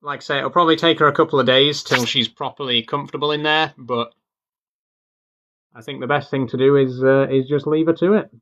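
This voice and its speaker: Young guy streaming from his bedroom, bright voice, lots of uhs and ums. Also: medium pitch, a little fast, dull audio. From England. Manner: rambling, relaxed, many fillers. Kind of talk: casual live stream chat. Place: bedroom at home.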